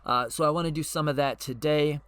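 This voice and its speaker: A man hosting his own channel, hoarse and losing his voice, talking casually and unscripted with frequent fillers and restarts. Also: a little high, steady tone, a little fast, fairly bright tone, no room sound. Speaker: channel host.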